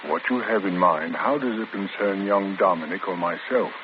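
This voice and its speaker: Patient prison priest, played by a man, lowish voice; 1940s radio play, phone-thin sound with static. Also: natural voice. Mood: pleading. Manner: measured, pleading, gentle. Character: calm gentle male prison priest, patient. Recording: tinny old radio broadcast with static.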